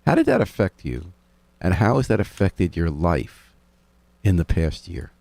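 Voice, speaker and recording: A man's voice: sits low, big pitch swings, measured pace, creaky, a little mellow, dry recording. A man hosting a community radio interview, conversational and prodding his guests with questions. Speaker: radio host. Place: radio studio. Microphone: studio microphone.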